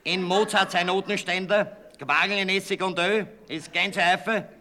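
A man reciting a rhythmic list of items in a crisp, brisk way. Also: high-pitched, fairly even intonation, pushed and bright.

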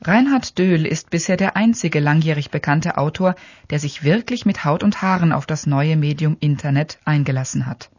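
A voice reading an experimental radio essay in a measured, matter-of-fact way. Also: medium pitch, darkish tone, lo-fi sound, slight background noise.

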